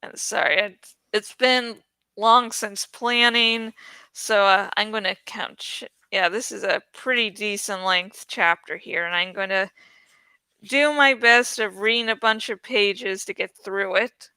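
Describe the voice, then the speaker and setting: Bright, clear-voiced woman chatting casually. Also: medium pitch, measured pace, no echo. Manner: informal, giggly, swears freely. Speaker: host of a book read-along channel. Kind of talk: casual rambling chat about a book.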